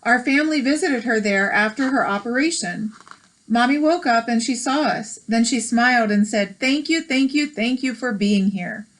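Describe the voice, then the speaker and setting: Casting: American woman as read-aloud host, slightly high voice, encouraging, explains things simply between lines. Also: normal speed. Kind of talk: reading a picture book aloud with chatty asides.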